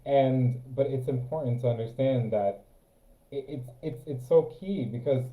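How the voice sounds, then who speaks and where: A man's voice: medium pitch, measured pace, dark tone. A man chatting casually as a co-host, giving earnest, repetitive advice. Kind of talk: casual spiritual chat. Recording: echoey phone-like audio.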